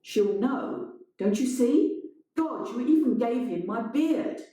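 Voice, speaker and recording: A woman's voice: a little high, expressive, slow pace, warm tone, voice pushed up. A woman voicing an angry, sneering man in a dramatised short story, indignant and accusing. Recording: strongly echoing, roomy sound.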